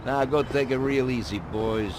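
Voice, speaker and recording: An actor playing a genial but domineering older mafia boss in a video game, with an expansive, patronizing manner. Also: male voice, mid-range voice, steady tone, normal speed, noisy background, natural voice. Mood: pleased, genial. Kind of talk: video game cutscene acting.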